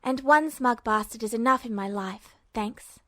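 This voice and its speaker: A woman's voice: on the high side, moderate pace, a bit bright, dry recording, clean studio sound, close to an everyday voice. A woman voicing the sarcastic inner thoughts of an irritated teenage boy.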